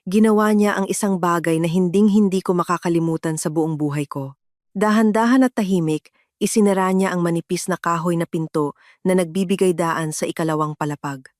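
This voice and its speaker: A woman's voice: lowish voice, a little mellow, dry recording, clean studio sound. A woman reading a dramatic first-person story as a scripted narration.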